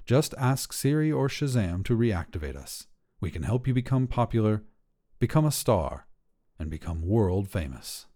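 A male digital talent scout from a short story , acted by a man, pleasant and pushy. He sells with excitement, swinging pitch and a mellow tone. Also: moderate pace. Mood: persuasive. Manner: excited, salesy, smooth-talking.

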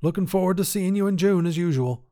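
A man's voice: high voice, fast, warm tone, pitched above the performer's own voice, close to an everyday voice. A man voicing a stubborn old grandfather who tinkers with inventions, talking in a folksy, clipped, insistent way. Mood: easygoing.

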